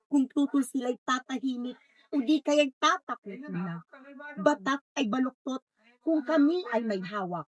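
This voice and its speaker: A woman's voice: a little high, a bit bright, dry recording, rough recording. A woman playing a menacing snake, henchwoman to a boss, declaiming threats in reader's theatre verse.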